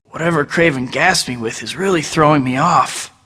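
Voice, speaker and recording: A man's voice: fairly high pitch, normal speed, pushed and bright, slightly rough, in a large hall. An actor voicing a young male superhero who tosses out sarcastic taunts in a video game. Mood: muttering. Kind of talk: game voice clips.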